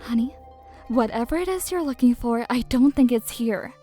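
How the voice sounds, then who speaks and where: A woman's voice: on the high side, animated, normal speed, mellow, soft background music, lightly acted. A woman acting a nosy, blunt young best friend in a game roleplay drama, with pointed, dramatic questioning. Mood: concerned.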